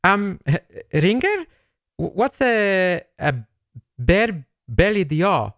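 Someone acting a flustered foreign school boss, polite and stammery, super up and down pitch, dry recording, comedy drama. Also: big pitch swings, slow pace, dry recording. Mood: baffled, hesitant. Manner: hesitant, stammering, politely baffled.

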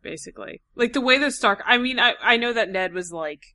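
Bright-voiced, husky woman podcaster. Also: fairly high pitch, animated, fairly quick. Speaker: podcast host. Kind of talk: casual discussion among co-hosts.

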